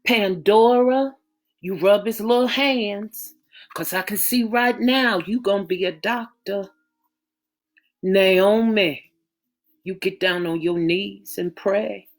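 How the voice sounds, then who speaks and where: A woman voicing a calm, loving mother and matriarch who coaxes and soothes in a Southern dialect. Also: medium pitch, slow pace. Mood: soothing.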